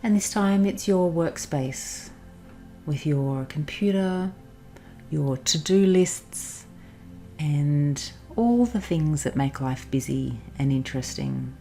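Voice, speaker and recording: A woman narrating a guided meditation in a soothing, instructional manner with pauses. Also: deep voice, expressive, vocal fry. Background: soft background music.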